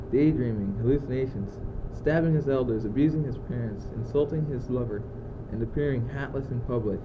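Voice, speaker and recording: A man's voice: mid-range voice, lightly acted. A teenage male student acting in a school radio play as an anxious, exasperated, brooding young courtier, declaiming in clipped phrases. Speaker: student actor. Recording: muffled phone-like old tape, loud noise.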